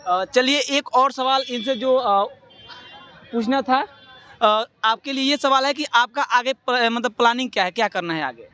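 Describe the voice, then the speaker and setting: Male interviewer, very high, bright tone; halting questions. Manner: hesitant, many fillers while asking. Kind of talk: street interview.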